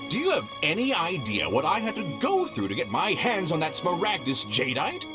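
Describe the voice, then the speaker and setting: Wildly animated, fussy merchant. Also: male voice, high-pitched, moderate pace. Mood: shocked, exasperated. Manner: theatrical, polite turning exasperated. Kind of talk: video game voice acting. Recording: game dialogue under loud background music. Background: game music.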